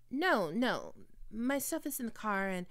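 Woman as a jittery young cat-vampire girl, stammers, lively swoops, slow unhurried delivery, dry close mic. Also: fairly high pitch, no room sound, full character voice. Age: young adult. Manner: flustered, stammering, quick to take offense. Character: nervous young female neko-vampire roommate. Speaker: ASMR roleplay performer. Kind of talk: ASMR roleplay.

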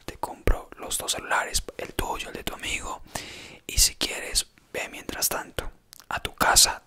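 A man whispering an ASMR roleplay as a doting, gullible boyfriend. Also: pushed and bright.

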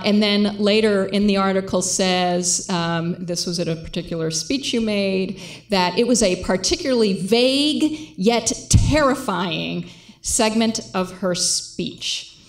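Measured woman moderator on stage. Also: medium pitch. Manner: measured, reads quotes aloud, many fillers. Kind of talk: moderating a public conversation. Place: lecture hall with audience. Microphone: handheld mic.

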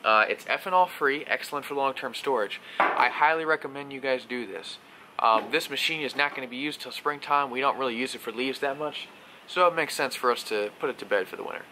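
A young man giving a casual how-to vlog while working in a workshop garage, relaxed and full of uh and um. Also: medium pitch, fairly quick, noisy background. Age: young adult. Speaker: vlogger doing equipment maintenance. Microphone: action camera close up.

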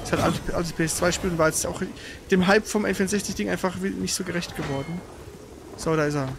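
A man with a high-pitched voice hosts a live gaming stream. He comments casually as he plays. His speech rambles, with frequent stutters and self-corrections. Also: fairly even intonation, fast, creaky, noisy background. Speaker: host of a gaming livestream.

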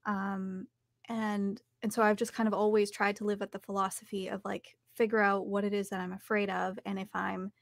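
Young female, conversational interviewee. Noticeable vocal fry, dry home-studio sound, thoughtful delivery. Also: mid-range voice, level tone, moderate pace, no echo. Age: young adult. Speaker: interview guest, audiobook narrator and voice actor.

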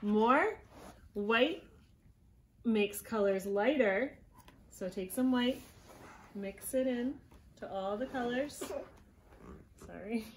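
A woman in her thirties reading a picture book aloud to young children at home, gently encouraging them and prompting with questions. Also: a little high, slow pace, pauses often, a little hiss or hum. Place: small living room at home, indoors.

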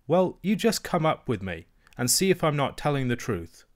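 A man's voice: very animated, normal speed, close to an everyday voice. A man voicing a young princess girl, earnest and proud, in a raised childlike voice. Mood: pleading. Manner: high, earnest childlike pleading.

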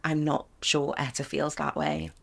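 A young woman in a period audio drama, chatty and teasing, a little gravelly at the edges. She is bold and lively in every line. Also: sits low, measured pace, exaggerated voice. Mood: earnest. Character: young working-class woman emigrating, teasing. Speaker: photography client.

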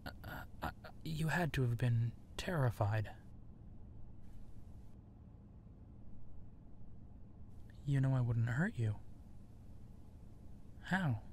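A man playing a gentle, awkward, self-deprecating werewolf friend in an intimate ASMR audio drama, speaking softly and haltingly. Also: medium pitch, animated, slight background noise. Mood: vulnerable, hesitant. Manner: hesitant, soft-spoken, halting, often slipping into whispers.

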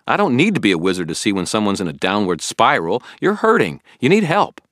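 An earnest young guy, acted out by a male narrator in an audiobook, pitched up from a deep reading voice. He is blunt and pushy, like a worried friend who has had enough. The sound is close and dry. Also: huge pitch swings, a little fast, a bit bright, dry recording. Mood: exasperated, heated. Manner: emphatic, blunt, informal.

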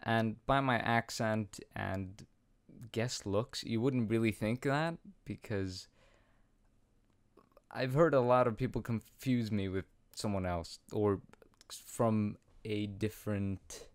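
A man's voice: on the low side, slow pace, no echo. A young man of about eighteen from Iceland, answering viewer questions in a casual, rambling way with plenty of ums and self-deprecating laughs, recorded at home on a studio mic. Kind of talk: casual Q&A chat. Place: small home room, indoors. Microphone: studio condenser mic with pop filter, wearing headphones.

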